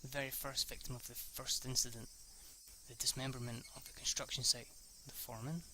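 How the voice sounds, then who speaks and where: A man's voice: medium pitch, little pitch movement, pushed and bright, a little hiss or hum, poor audio. A young man reading a visual novel aloud in a casual let's-read, stumbling and restarting lines as he goes. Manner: reads haltingly, restarts lines and swears at slips.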